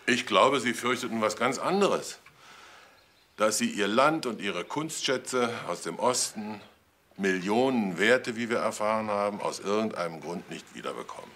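A man's voice: lowish voice, expressive, moderate pace, a bit bright. A man playing a dogged police detective in a crime drama, questioning pointedly and insistently. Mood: pressing. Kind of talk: German crime drama acting.

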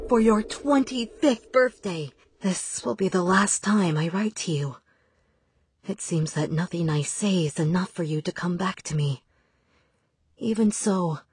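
A devout nun reading letters to her son in a video game. Her voice is low and very breathy, tender yet solemn, and recorded close and dry. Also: no echo. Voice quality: breathy, soft. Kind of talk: letters read aloud in game cutscene.

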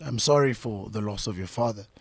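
A man's voice: lowish voice, a little fast. A man in his forties with a South African accent hosting a podcast, chatty and friendly with his guest. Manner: warm, chatty, gives shout-outs. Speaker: podcast host. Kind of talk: casual interview conversation. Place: podcast studio. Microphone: boom mic on desk.

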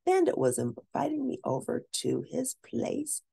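Gravelly, animated woman storyteller. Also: high voice, no room sound. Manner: animated, deliberate, lingering on key words. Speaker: storyteller reading a children's book. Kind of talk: expressive read-aloud narration of a children's story.

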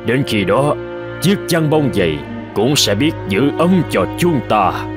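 A man voicing an elderly, wise Buddhist monk in a cartoon folk tale, patient and measured as he teaches. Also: medium pitch, big pitch swings, music in the background. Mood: calm, instructive. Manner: measured, gently instructive. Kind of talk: animated folk tale dialogue.

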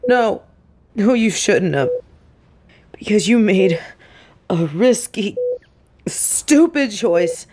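A fiercely protective female secret agent, acted by a woman, delivers an intimate audio drama monologue. She scolds with heavy emotion and emphasis, taking her time over the words. Her voice sits at a middling pitch for a woman. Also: natural voice. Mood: scolding. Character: suave female field agent, fiercely protective, angry and lovestruck. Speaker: voice actor in an audio roleplay.

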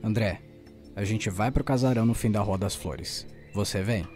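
A man's voice: on the low side, normal speed, darkish tone, soft background music, natural voice. A Brazilian man acting in an audio drama as a bold, determined journalist who talks in an insistent, colloquial way. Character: determined male journalist, bold, stubborn investigator.

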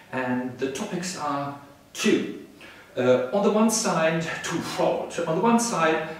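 An older professor lectures in a formal, rhetorical way, pausing on small hesitations as he goes. His voice sits a bit high for a man and carries a strong room echo, picked up by a camera mic set some distance away in a salon. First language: German. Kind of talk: freely spoken video lecture. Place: indoor salon room, seated on a sofa.

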